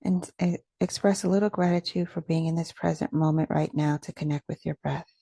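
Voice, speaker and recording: Low, mellow woman guiding a meditation. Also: steady tone, creaky, husky, no echo, clean studio sound. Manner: gentle, measured, instructional delivery. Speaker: meditation guide. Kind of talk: guided meditation.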